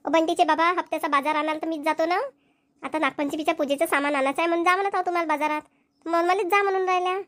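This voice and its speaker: A woman performs a village woman from a Marathi cartoon comedy with a notably high voice. She speaks in a rural Vidarbha accent and a colloquial, homely way. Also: steady tone, rapid-fire, fairly bright tone, dry recording, clean studio sound. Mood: nagging. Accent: Maharashtrian, rural Vidarbha Marathi. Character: young village women, mothers and nagging wives in a comedy.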